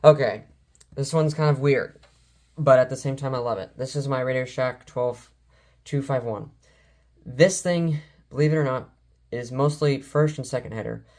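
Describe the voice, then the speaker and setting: A man's voice: mid-range voice, moderate pace, long pauses, a little mellow. A man giving a casual, rambling vlog-style showcase as an enthusiastic collector, often stumbling over his words. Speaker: collector showing weather radios and scanners.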